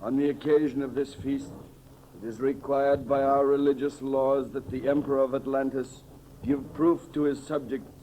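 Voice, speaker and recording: An actor in a 1930s radio serial playing a solemn, fatherly high priest who speaks in formal, measured, pious phrases. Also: level tone, dark tone, natural voice. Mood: solemn, benedictory. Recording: noisy old 1930s radio recording.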